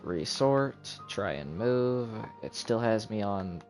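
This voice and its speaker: Male game streamer, fairly low register, offhand self-directed muttering, music playing clearly behind him. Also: lively intonation, slow. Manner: muttering to himself, counting aloud. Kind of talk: casual live gameplay commentary.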